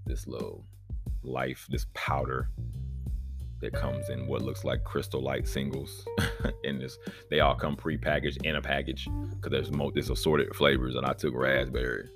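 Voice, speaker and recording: A man with a very deep voice and an Oklahoma accent rambles through a casual solo podcast. He is profane and laid-back, in his thirties, and faint music plays behind him. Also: flat delivery, measured pace. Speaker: podcast host.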